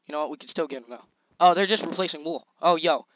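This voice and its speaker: A young man hosting his own gaming channel, commentating gameplay in a chatty, slangy, excitable way, his voice a little scratchy. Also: fairly high pitch, fast talker, dry recording, highs cut off. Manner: excitable, chatty, slangy hype while playing. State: slightly scratchy voice.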